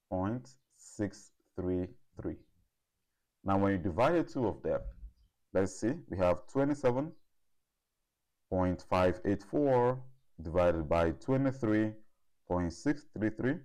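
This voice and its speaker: A man giving a step-by-step lesson as a tutor, working deliberately through problems and repeating figures as he goes. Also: low voice, a little mellow. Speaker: chemistry tutor.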